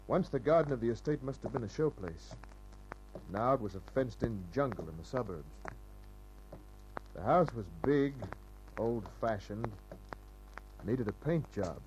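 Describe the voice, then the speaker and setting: An actor playing a wry, tough private detective in old-time radio drama, dry and laconic. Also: male voice, mid-range voice, expressive, unhurried, long pauses, noisy background, lightly acted. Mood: hard-boiled, observant. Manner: dry, hard-boiled, laconic.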